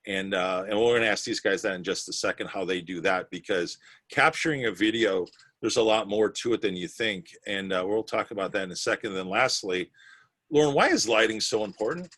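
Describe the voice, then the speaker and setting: A man's voice: fairly low pitch, fairly quick, a bit bright. A man co-hosting a live webinar panel over a video call, upbeat and prompting guests with questions. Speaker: webinar co-host. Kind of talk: casual panel moderating.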